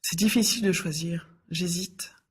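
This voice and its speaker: Soft, hesitant husky woman. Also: lowish voice, fairly even intonation, normal speed. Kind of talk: everyday dialogue for learners.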